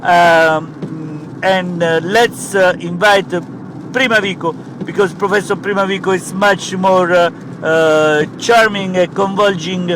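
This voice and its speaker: An Italian-accented man in a comic sketch, hosting a mock interview in halting English packed with "uh". His voice sits fairly high. Loud street traffic runs behind him. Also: fairly even intonation. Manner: hesitant, full of uh fillers.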